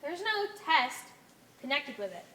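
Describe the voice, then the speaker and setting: A woman's voice: sits high, very animated, a little slow, pushed and bright, exaggerated voice. A woman on stage playing a solemn member of a strange blue cult, earnest and matter-of-fact. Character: solemn blue cult member from a laboratory-made cell society. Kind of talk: amateur one-act stage play acting. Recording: echoey stage, noisy room ambience.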